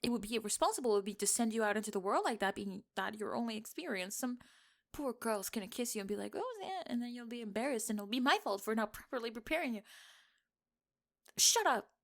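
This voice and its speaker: A woman's voice: a little high, lively intonation, vocal fry. Flustered young tomboy played by a woman. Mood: defensive, bashful. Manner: teasing, defensive, stammering, halting. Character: flustered tomboy best friend, young woman, bossy, secretly smitten. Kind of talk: intimate ASMR roleplay acting.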